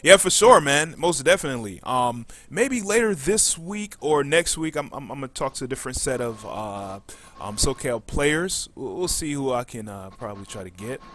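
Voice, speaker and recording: Halting male podcast host, bright voice. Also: medium pitch, lively intonation. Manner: halting, frequent pauses mid-sentence. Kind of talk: casual podcast hosting with announcements.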